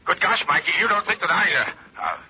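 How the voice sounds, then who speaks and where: Flustered, high-pitched, breathless telephone caller. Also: male voice, very animated, brisk. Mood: flustered, evasive. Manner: flustered, stammering. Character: evasive, nervous, bumbling male acquaintance on telephone. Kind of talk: 1940s radio acting. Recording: dull, noisy old radio phone call.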